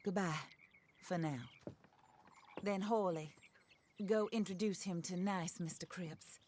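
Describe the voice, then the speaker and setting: An actress playing a wealthy, genteel widow set on revenge, speaking in a refined, formal, well-spoken manner. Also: female voice, on the low side, takes it slowly, a little mellow, slight background noise. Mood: calm, composed. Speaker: patron hiring outlaws. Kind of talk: video game cutscene acting.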